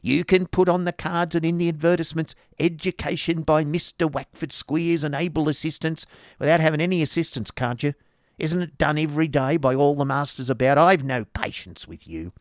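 Man as a snappish, scornful wife, raised pitch. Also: fairly quick, no room sound, clean studio sound, close to an everyday voice. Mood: irritated. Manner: snappish, contemptuous. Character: sharp-tongued, scornful, impatient schoolmaster's wife.

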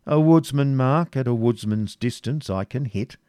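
A man voicing a bold, composed medieval archer in a storybook reading, steady and plain-spoken. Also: medium pitch, moderate pace, smooth, no echo, clean studio sound, voice pushed up, lightly acted. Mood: bold composure.

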